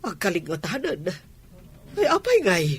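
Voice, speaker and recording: An actor with an Ilocano accent playing an anxious, excitable parent in a radio drama, exclaiming over a child's contest. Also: medium pitch, normal speed, slight background noise. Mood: worried. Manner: excitable, exclaiming running commentary.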